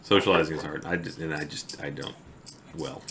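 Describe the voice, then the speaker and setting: A man talks to his stream from a home desk microphone, his low voice carrying a forceful, bright edge. He chats haltingly and trails off into murmurs, and reads verse at a slow, measured pace. Faint noise sits under the voice. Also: steady tone. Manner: halting, trails off into quiet mumbles. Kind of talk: casual chat with stream viewers; slow, deliberate poetry reading.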